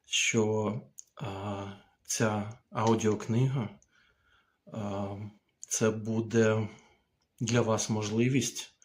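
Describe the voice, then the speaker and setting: A man speaking as an author in an informal recorded message, hesitant and pausing between short phrases. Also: on the low side. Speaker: book author.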